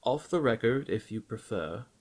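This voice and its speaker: A man voicing a dutiful, concerned butler in an audiobook, speaking in a formal, measured and politely reserved way. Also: medium pitch, lively intonation, breathy edge, voice pushed up. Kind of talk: audiobook character acting.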